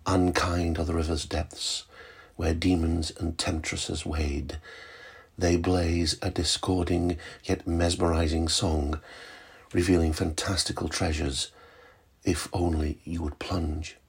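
Man, poetry reading, very husky, unhurried dramatic recital. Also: deep voice, flat delivery. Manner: slow, measured, dramatic recital. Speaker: library service manager.

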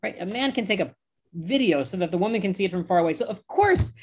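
A man's voice: sits high, expressive, quick pace, husky. Emphatic American-accented man, rabbi, interview guest. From United States. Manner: impassioned, emphatic.

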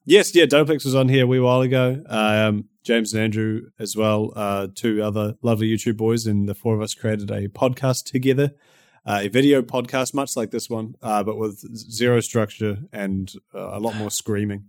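Kiwi guy on a podcast, kinda low voice, swears a ton, laughs lots, dry sound. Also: fairly even intonation, normal speed, no echo. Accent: New Zealand. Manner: swears often, laughs a lot. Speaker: podcast guest, YouTuber. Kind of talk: casual chat.

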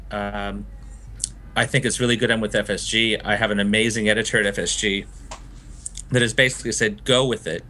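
A man's voice: mid-range voice, pushed and bright. A man from Florida, a novelist being interviewed, talking in a thoughtful, discursive way and laughing easily. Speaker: novelist guest. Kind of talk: interview conversation.

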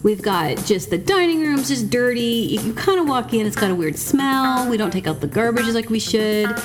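A woman putting on a cheerful pitchman voice for a fake ad about a bad barbecue joint, selling it bluntly. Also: animated, normal speed, natural voice. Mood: chipper. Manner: upbeat sales pitch, bluntly self-deprecating. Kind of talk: fake radio ad. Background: music.

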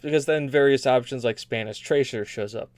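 A man's voice: mid-range voice, a little fast, darkish tone, no echo. A man co-hosting a casual gaming podcast, chatting in a rambling, joking way with repeated and restarted phrases. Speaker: podcast co-host.

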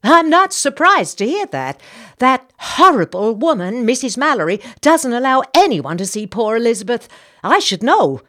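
A woman's voice: sits high, fairly bright tone, voice pushed up. A woman voicing a petite, genteel and insistent society lady, a talkative senator's wife, in audiobook dialogue.

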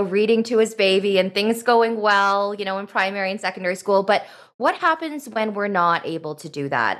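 A woman's voice: medium pitch, a bit bright. A woman hosting a podcast, putting questions to guests in a conversational interviewing style. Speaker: podcast host.

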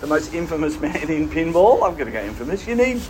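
A podcast host interviewing people on a pinball show floor, friendly and teasing. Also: male voice, a little high, big pitch swings, quick pace, a little mellow, a little hiss or hum, rough recording. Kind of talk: casual interview.

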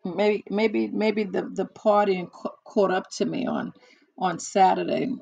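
Hoarse, halting woman chatting slowly. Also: mid-range voice, steady tone, darkish tone, no room sound, dull audio. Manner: halting, many fillers and restarts. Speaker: chat partner. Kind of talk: casual one-on-one chat.

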